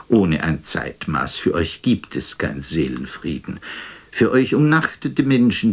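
A man playing a world-weary, self-mocking stage actor in a radio drama monologue, declaiming haltingly with bitter irony and often sinking to a breathy whisper. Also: low-pitched, darkish tone. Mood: scornful, grandiose.

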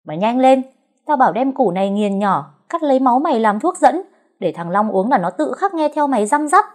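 A shrill, high old woman who bosses and coaxes in the same breath, as a female storyteller puts her on. She sounds pushy and sly, pitched well above an ordinary speaking voice and loud. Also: sing-song, brisk. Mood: urging. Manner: bossy, coaxing and threatening. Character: shrill scheming old woman, sorcerous matchmaker.

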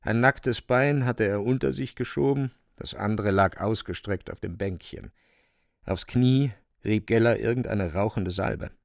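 A man narrating a literary radio drama in a measured, descriptive storytelling manner. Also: on the low side, vocal fry, no room sound, studio quality.

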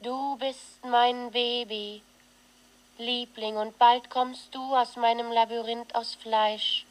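A woman's voice: fairly high pitch, poor audio. An actress playing a solemn performance artist who recites intimately, like an incantation, as an expectant mother in a radio play. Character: solemn pregnant performance artist reciting to her unborn baby. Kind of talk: poetic monologue in a radio play.

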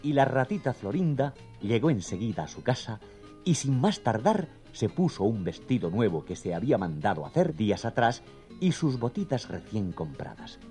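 A man narrating a scripted children's story in an expressive, theatrical storytelling manner. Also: mid-range voice, moderate pace, faint music behind.

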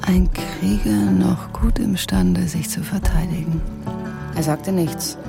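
Yearning woman, creaky voice. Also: fairly low pitch, fairly even intonation, slow, music in the background, heavily acted. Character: lovelorn, fierce, yearning woman telling her story. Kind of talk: radio drama monologue, storytelling.

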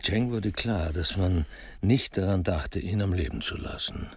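A man with a creaky voice narrating a scripted radio crime drama. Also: sits low, a little slow, no echo, studio quality.

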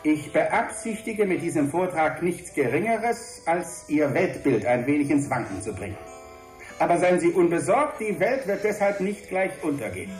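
An actor playing a grandiose scientist in a radio drama, delivering a rehearsed lecture in an oratorical manner. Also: male voice, a little high, sing-song, normal speed, darkish tone, roomy sound, faint music behind, low-quality recording. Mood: grand, oratorical. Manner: oratorical, self-correcting.

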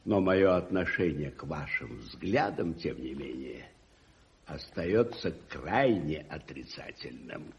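Pompous, slow, husky gentleman. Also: very deep voice, expressive, full character voice. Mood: stern, defensive. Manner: pompous, halting, haughty. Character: pompous, disapproving, stuffy senior gentleman. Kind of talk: radio drama acting. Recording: dull early-1980s radio play recording.

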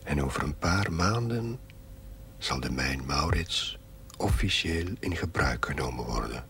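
Sombre narrator, very low voice, slow, solemn, TV drama, faint noise. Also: male voice, animated, sharp tone, heavily acted. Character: sombre man narrating a village drama's epilogue. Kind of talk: scripted narration in television drama. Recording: faintly noisy old television soundtrack.

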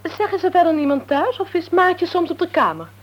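A woman playing a sharp-tongued, proper young woman in a Dutch radio play, prim and tart in manner. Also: very high-pitched, big pitch swings, fairly quick, dull audio. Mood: surprised, crisp. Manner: prim, tart, mock-formal.

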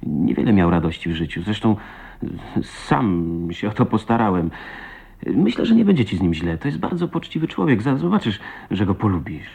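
An actor playing an ardent, proud man in love with his wife, in impassioned, halting 1980s Polish radio drama style. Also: fairly low pitch, big pitch swings, vocal fry, darkish tone, husky, full character voice. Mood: relieved, tender. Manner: impassioned, emotional, halting delivery. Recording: dull lo-fi radio play recording.

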